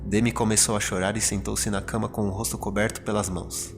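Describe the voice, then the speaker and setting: A man's voice: lowish voice, flat delivery, brisk. A man with a Brazilian accent giving scripted, dramatic narration of a horror story. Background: prominent music.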